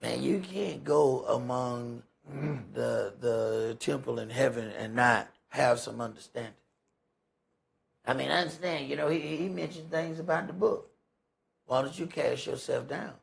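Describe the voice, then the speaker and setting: Male Bible teacher, heavy vocal fry and rough husky tone, emphatic, folksy sermon delivery. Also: medium pitch, a little slow. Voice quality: gravelly, husky. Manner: emphatic, rhetorical questions, folksy phrasing. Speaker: preacher leading a Bible study. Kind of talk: conversational sermon with scripture commentary.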